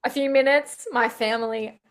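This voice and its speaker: Young Aussie woman, slightly high voice, upbeat and rambling, heard over a video call. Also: steady tone, normal speed, slight background noise. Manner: chatty, upbeat, many fillers. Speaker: singer-songwriter guest. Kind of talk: casual interview chat.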